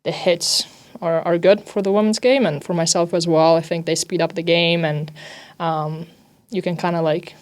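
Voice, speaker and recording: A young woman who grew up in Italy and speaks Italian natively gives relaxed, informal interview answers. Her voice sits a little low for a woman, and she peppers her talk with "like" and "you know" as she describes life as an athlete. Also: normal speed. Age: young adult. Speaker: ice hockey defender.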